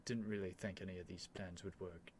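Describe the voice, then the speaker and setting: A man playing an earnest, nervous young prince devoted to a dragon, in a halting, emotional ASMR roleplay addressed to the listener. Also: deep voice, steady tone, a little mellow, a little hiss or hum, close to an everyday voice. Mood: pleading, resigned. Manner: halting, stammering, breathy sighs and whispers, emotional.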